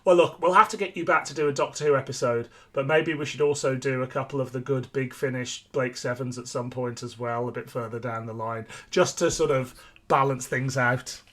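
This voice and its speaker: A man's voice: mid-range voice, fairly even intonation, fairly quick, a bit bright. A man hosting a podcast in an informal, chatty, conversational way. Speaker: podcast host. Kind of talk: casual chat.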